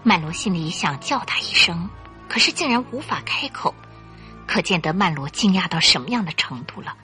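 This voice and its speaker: A woman narrating a scripted radio drama in an expressive, suspenseful storytelling style. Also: on the low side, normal speed, faint music behind.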